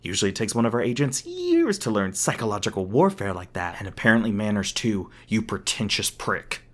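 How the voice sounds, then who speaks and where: A man's voice: fairly low pitch, big pitch swings, a little fast. A man playing a smug, sadistic corporate villain in an audio roleplay, taunting with sarcastic, mock-polite manners. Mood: sarcastic praise. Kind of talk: ASMR audio roleplay acting.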